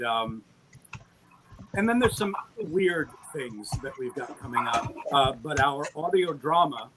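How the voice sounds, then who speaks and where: A man's voice: medium pitch, noisy background. A middle-aged man talking as an interview guest in an enthusiastic, rambling, self-deprecating storytelling way on an online call. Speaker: guest, audio drama producer. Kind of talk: conversational interview talk.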